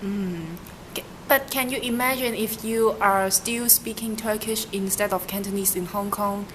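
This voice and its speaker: A woman's voice: medium pitch, steady tone, a little slow, noisy background. A young woman interviewing someone, asking questions hesitantly with fillers and restarts. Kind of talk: casual student interview.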